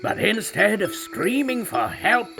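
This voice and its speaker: A man's voice: high-pitched, sing-song, a little slow, gravelly. Man playing a boastful ancient tiger narrator. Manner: theatrical and self-satisfied. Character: magical ancient male tiger, proud and boastful storyteller. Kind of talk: scripted storybook narration. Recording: narration over loud music.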